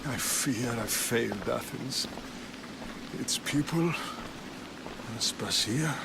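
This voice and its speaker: Slow, weary ailing statesman. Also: medium pitch, gravelly, heavy background noise. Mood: weary regret. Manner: solemn, measured, weary. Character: ailing, weary ancient Athenian statesman, devout and resigned. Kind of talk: dramatic game cutscene acting.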